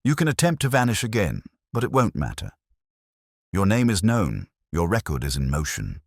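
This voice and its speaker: A man voicing a coolly logical, assured detective in an audiobook, speaking in measured, precise, formal phrases. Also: deep voice, huge pitch swings, no room sound, studio quality, voice pushed up, full character voice. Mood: cool, confronting.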